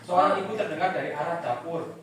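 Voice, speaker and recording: A woman's voice: on the low side, quick pace. A woman with a Tegal Javanese accent leads schoolchildren through an interactive read-aloud story, prompting them with questions in a teacherly way. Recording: echoey noisy hall, distant microphone.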